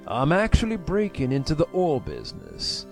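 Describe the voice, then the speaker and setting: Suave, genteel rogue brother, lively swooping pitch with gravelly fry, audio drama. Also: male voice, moderate pace, soft background music, heavily acted. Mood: smug. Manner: suave, genteel, self-assured. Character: smooth, charming scoundrel brother returning home.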